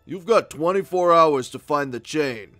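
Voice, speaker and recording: A man voicing a gruff, sardonic tough guy and showy barker in a cartoon comic dub, with music behind. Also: fairly high pitch, animated, a little slow, bright, forceful tone. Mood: stern. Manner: brash, showy.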